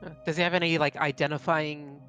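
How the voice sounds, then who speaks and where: A man's voice: on the high side, flat delivery, fairly quick, fairly bright tone. A man plays a tabletop roleplaying game, talking informally and joking as he goes. His chat moves loosely between table talk and his character, over faint music.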